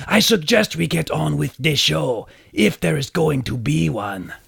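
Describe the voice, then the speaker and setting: A man playing a haughty, easily affronted supervillain in a radio play, with a gravelly, raspy voice and pompous delivery. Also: on the high side, sing-song, a little slow, fairly bright tone, dry recording, clean studio sound, heavily acted. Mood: indignant. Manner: pompous, indignant.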